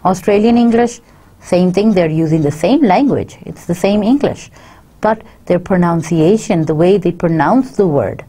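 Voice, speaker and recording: Woman, Pakistani accent, middle-aged, university lecturer, lowish voice, slow English then fast Urdu asides. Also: dark tone, husky. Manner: explains slowly in English, then rattles off quick asides in Urdu. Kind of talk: recorded video lecture. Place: studio set with dark backdrop. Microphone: fixed camera, seated mid shot.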